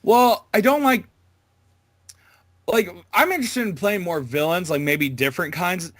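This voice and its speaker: A man's voice: sits high, measured pace, a bit bright, no room sound, studio quality. A man in a casual interview, talking as an amateur voice actor in a hesitant, rambling, informal way.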